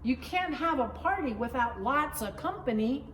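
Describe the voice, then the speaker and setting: A woman voicing God as a playful, kindly little girl in a children's storybook, with gentle, inviting phrasing. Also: sits high, expressive, moderate pace, pitched above the performer's own voice. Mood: cheerful. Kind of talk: children's picture book read-aloud. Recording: roomy echo, distant mic, faint noise.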